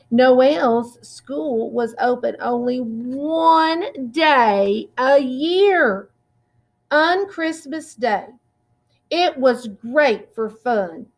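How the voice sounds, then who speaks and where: A woman reading a children's picture book aloud in a deliberate, expressive way, drawing out words. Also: high voice. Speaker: children's storytime reader.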